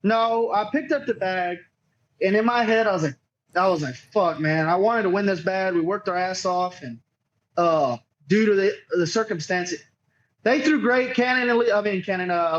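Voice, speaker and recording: A man's voice: high-pitched, normal speed, dull audio. A man being interviewed as a podcast guest, telling a story casually in a rambling, profane way and often quoting others. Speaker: podcast guest, cornhole player.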